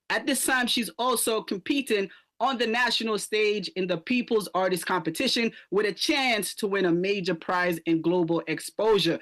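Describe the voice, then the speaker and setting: A man's voice: high-pitched, a bit bright. A man hosting a radio interview show, upbeat and friendly, often repeating words as he starts his questions.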